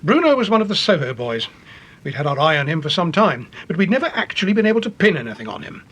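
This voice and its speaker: Husky, high-voiced British police chief. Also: male voice, big pitch swings, a bit bright, full character voice. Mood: grave. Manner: grave, deliberate, official. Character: earnest, authoritative English police chief, a titled gentleman. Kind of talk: radio detective drama acting.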